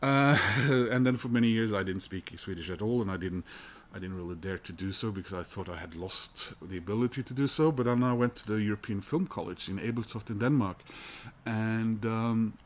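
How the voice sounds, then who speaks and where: A man's voice: fairly low pitch, fairly quick. A Norwegian man vlogging casually about his record purchases, talking loosely with many "uh" and "um" fillers. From Norway. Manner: loose and chatty, heavy with "uh" and "um" fillers. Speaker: vinyl collector vlogger. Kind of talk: casual rambling chat to camera.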